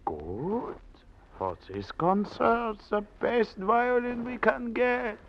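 An actor playing an eccentric, exacting old music master in a radio drama, fussy and emphatic in broken phrases. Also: male voice, extremely high pitch, expressive, takes it slowly, creaky, heavily acted. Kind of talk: old-time radio acting. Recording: dull vintage radio with faint hiss.

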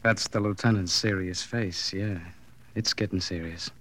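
An actor playing a wry, needling police detective in an old radio crime drama, sarcastic and taunting. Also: male voice, low-pitched, fairly even intonation, normal speed, a bit bright.

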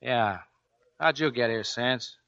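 Dull 1940s radio recording, gruff American Navy chief, forceful voice, blunt, protective. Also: male voice, mid-range voice, takes it slowly. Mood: gruff, commanding. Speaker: actor playing lead and narrator.

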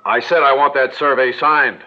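An actor playing a pushy, impatient small-town mayor with a bossy manner, in a 1940s radio drama. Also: male voice, mid-range voice, steady tone, moderate pace, music in the background, lightly acted. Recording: muffled old radio broadcast.

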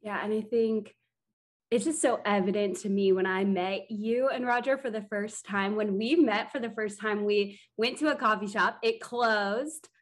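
Woman host, mid-pitch voice, chatty rambling questions. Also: normal speed. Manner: friendly, rambling questions with fillers. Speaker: podcast host. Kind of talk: casual interview.

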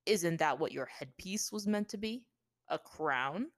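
Smug warrior villain for fantasy audiobook, played by a woman: lowish, calm, condescending, coolly menacing, dry sound. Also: unhurried, dry recording. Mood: smug, mocking. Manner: calm, offhand, condescending taunting.

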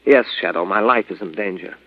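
An actor playing a secretive, defensive doctor in an old radio drama, with a gravelly voice. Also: male voice, low voice, fairly quick, no echo. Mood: uneasy, defensive. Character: secretive male doctor and stepfather, defensive under suspicion. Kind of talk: 1940s radio drama acting. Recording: dull vintage radio recording.

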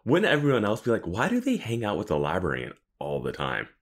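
Man, deep low pitch, podcast co-host, informal TV commentary, prone to chuckling. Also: wildly swooping pitch, fairly quick. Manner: informal, laughs often as he talks. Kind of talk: casual chat, TV episode commentary.

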